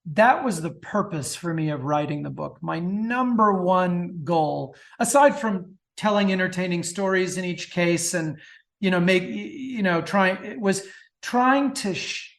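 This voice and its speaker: High-voiced enthusiastic man. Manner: enthusiastic, digressive. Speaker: guest author. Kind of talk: casual interview chat.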